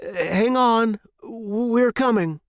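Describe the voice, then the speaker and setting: A man voicing a flustered, earnest, kindly gentleman explorer in a storybook, exclaiming with old-fashioned politeness. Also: very high voice, drawn-out words, no room sound, studio quality, voice pushed up, exaggerated voice. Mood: urgent. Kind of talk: storybook character dialogue.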